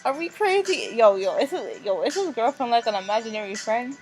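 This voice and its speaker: A woman hosting a visual novel let's play, reading aloud with casual, expressive reactions and stumbling over and repeating phrases. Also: on the high side, a little fast. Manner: expressive, reacts in outbursts between read passages. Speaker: gaming video host. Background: music.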